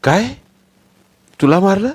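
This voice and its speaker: A man's voice: high voice, big pitch swings, slow, slightly rough, no echo, pitched above the performer's own voice, heavily acted. A hurt teenage boy with a raised, strained voice, bitter and choked, the way a male storyteller puts him on. His lines swoop up and down. The sound is dry and close. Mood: gentle, worried.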